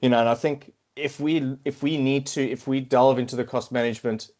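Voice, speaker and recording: A middle-aged man speaks with a South African accent in a casual podcast chat. His voice sits in an ordinary middle pitch. He hesitates often, repeating words and filling gaps with ums and uhs as he thinks aloud. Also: steady tone, brisk, a little mellow. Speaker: podcast guest, quantity surveyor.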